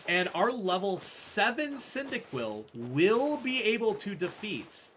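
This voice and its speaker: A man's voice: high-pitched, sing-song, slow, a bit bright, a little hiss or hum. A man giving casual, explanatory speedrun commentary full of gamer jargon while he plays. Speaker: speedrunner playing and explaining the run.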